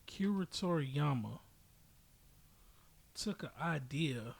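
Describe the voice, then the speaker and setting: A man's voice: a little high, lively intonation, slow, creaky, husky. A man giving casual, opinionated podcast commentary as a host, speaking bluntly and emphatically with frequent swearing.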